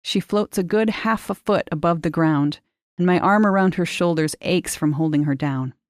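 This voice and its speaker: A woman with a low voice narrates short fiction. Her delivery is measured and expressive, captured close and dry, with no room sound. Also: dry recording. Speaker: short story reader. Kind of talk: scripted literary narration.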